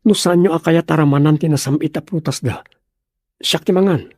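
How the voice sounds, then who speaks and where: A man's voice: fairly high pitch, fairly quick, darkish tone, no echo, studio quality. A man with a Filipino Ilocano accent acting a patient family man in an audio drama, coaxing and conversational. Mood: reasoning. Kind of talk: radio drama acting in dialogue.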